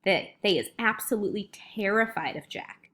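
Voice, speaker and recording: A woman voicing a kindly, cautious male giant in a storybook, speaking in a hushed, confiding way with muddled grammar. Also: mid-range voice, animated, normal speed. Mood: hushed caution. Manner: hushed, whispered, secretive explaining. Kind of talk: storybook read-aloud.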